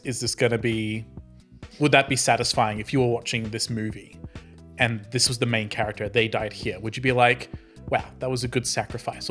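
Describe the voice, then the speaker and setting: A man's voice: mid-range voice, a bit bright. A man in casual group talk about a tabletop game, with an ordinary mid-range voice. He rambles, restarts his sentences and laughs easily, with faint music underneath. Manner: rambling, self-interrupting, laughs often.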